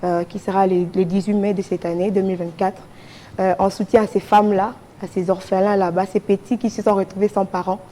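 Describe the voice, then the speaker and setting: A Congolese woman speaks as a guest in a TV studio. Her voice is dark and relaxed at a medium pitch. She makes an earnest appeal, restating her phrases as she goes. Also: a little fast, slight background noise. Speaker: charity association representative, TV guest.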